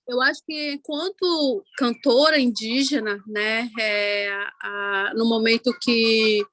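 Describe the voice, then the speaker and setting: Ticuna-woman singer, unhurried panel talk. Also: on the high side, a bit bright, dry recording. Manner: unhurried, many "né" tags and restarts. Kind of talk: panel talk, personal account.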